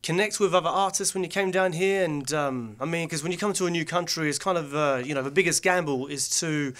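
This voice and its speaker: A man's voice: on the high side, fairly quick, a bit bright. A man hosting a radio interview in a casual, hesitant way, full of fillers and restarted questions. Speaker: radio host.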